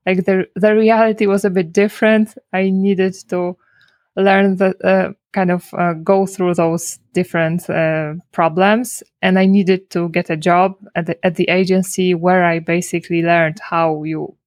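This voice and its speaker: A woman speaks English in a fairly low, flat voice. She talks hesitantly, restarting phrases and leaning on "like". The sound is dry and close, with no room around it. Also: measured pace, dry recording. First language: Polish. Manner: hesitant, many restarts and "like" fillers. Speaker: guest, SEO consultant. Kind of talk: casual interview.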